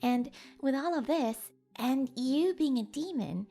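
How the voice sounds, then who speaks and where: A woman playing a kind, awkward young human in an audio drama, hesitant and casual but expressive. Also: high voice, a little slow, creaky, soft background music, heavily acted. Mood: concerned. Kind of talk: audio drama character acting.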